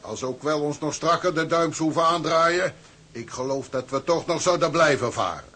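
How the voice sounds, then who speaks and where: An actor playing a cynical, indignant seasoned sea-tug officer in a radio drama, swearing and telling things with sardonic emphasis. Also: male voice, a little high, moderate pace, pushed and bright, breathy edge. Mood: hearty.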